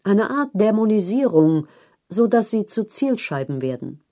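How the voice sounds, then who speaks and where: A woman narrating a written news feature in a measured, articulate read-aloud style. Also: mid-range voice, big pitch swings, warm tone. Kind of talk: scripted narration of long-form journalism.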